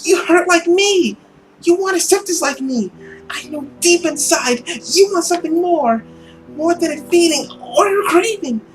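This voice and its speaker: A woman's voice: extremely high pitch, lively intonation, measured pace, faint music behind, pitched above the performer's own voice, rough recording. A woman playing an earnest young girl who pleads emphatically and emotionally. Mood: pleading.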